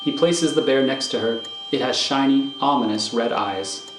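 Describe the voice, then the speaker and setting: Male narrator reading screenplay directions: medium pitch, scripted delivery, roomy sound with noise. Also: moderate pace. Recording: echoey room, clear background noise.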